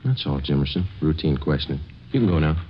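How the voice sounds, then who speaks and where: An actor playing a terse, relentless police sergeant in a 1950s radio drama, flat and businesslike. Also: male voice, a bass voice, fast, faint music behind. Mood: businesslike, insistent. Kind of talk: 1950s radio acting, clipped and understated. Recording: muffled, noisy old radio recording.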